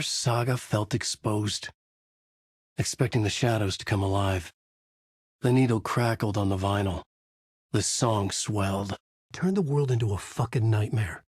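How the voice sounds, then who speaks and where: A man's voice: fairly low pitch, darkish tone, husky, dry recording, clean studio sound. A man voicing a haunted male horror novelist, delivering grim noir-style inner monologue as game voice lines. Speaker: narrator.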